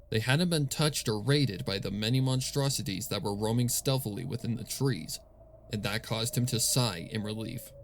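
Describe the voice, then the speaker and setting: A man narrating scripted fan fiction as a storyteller, measured and solemn in his delivery. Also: fairly low pitch, slight background noise.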